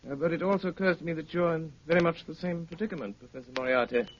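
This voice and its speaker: An actor playing a cool, sardonic, commanding gentleman detective in a 1930s radio drama, speaking with clipped, dryly polite authority. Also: a little high, very fast, natural voice. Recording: muffled, noisy 1930s radio recording.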